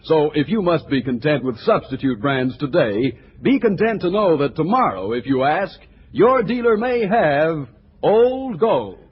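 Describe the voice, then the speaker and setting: An American man announcing and pitching products in a folksy 1940s radio style. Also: lively intonation, a little slow, background noise. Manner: folksy salesmanship. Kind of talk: 1940s radio advertising read. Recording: dull 1940s radio recording with faint music.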